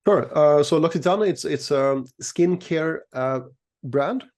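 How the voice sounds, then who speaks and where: A middle-aged man from Sweden explaining things as an interview guest, in a measured, slightly hesitant conversational way, often repeating words. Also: a little high, darkish tone. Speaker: interview guest, equities analyst and newsletter writer.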